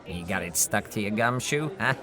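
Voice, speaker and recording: An actor playing a friendly, chatty bartender with breezy, teasing banter in a noir audio drama. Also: male voice, lowish voice, expressive, normal speed, crowd noise, lightly acted. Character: colorful, chatty, friendly male bartender at a detective's haunt.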